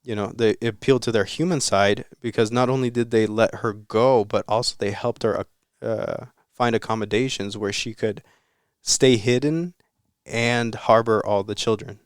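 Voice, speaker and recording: A man with a fairly low, smooth voice talks through a story on a casual podcast, loose and rambling, with the odd swear word. The sound is dry and close, with no room around it. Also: steady tone, normal speed, no room sound. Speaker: podcast host.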